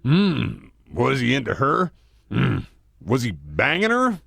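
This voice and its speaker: An actor playing a crude, blunt, rough-edged police recruit with a gravelly voice. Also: male voice, medium pitch, huge pitch swings, takes it slowly, a bit bright, dry recording, clean studio sound, heavily acted. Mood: leering.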